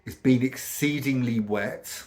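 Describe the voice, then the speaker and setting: A middle-aged British man with a croaky voice from a cold, chatting casually to camera in a rambling way with many ums. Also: medium pitch, unhurried, darkish tone. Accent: British English. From United Kingdom. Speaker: vlogger.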